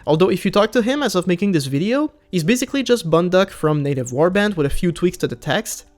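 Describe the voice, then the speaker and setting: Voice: mid-range voice, lively intonation, quick pace. A gaming video creator narrating a scripted review in a casual, enthusiastic way.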